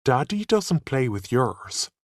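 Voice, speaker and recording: A man voicing a gentle but firm mother in a storybook, with a calm, indulgent manner. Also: medium pitch, slow, no echo, clean studio sound.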